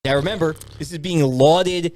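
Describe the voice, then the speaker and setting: A man's voice: fairly high pitch, normal speed. A man hosting a podcast, chatting casually and animatedly about movies and often repeating what others say. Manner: animated, quizzes co-hosts and repeats their answers. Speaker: podcast host. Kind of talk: casual movie-review chat.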